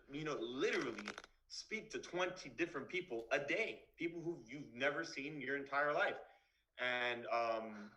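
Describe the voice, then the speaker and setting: A man's voice: medium pitch, sharp tone, poor audio. Blunt young man giving advice. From Miami, Florida. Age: 20s. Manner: blunt, straightforward, casual with fillers. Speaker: dentist giving a talk. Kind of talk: online presentation to students.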